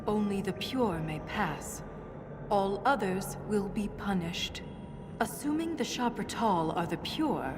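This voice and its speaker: A woman's voice: mid-range voice, animated, takes it slowly. A woman playing an earnest, curious dwarven scholar who ponders lost history in a video game cutscene. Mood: tense, grave. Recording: loud music behind the voice. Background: loud music.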